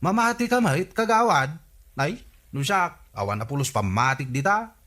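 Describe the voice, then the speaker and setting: A man's voice: on the high side, sing-song, normal speed, bright, forceful tone, heavily acted. An actor playing a chatty, scheming village man with an Ilocano accent in a radio comedy drama. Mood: amused, insistent. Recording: noisy track, birdsong behind.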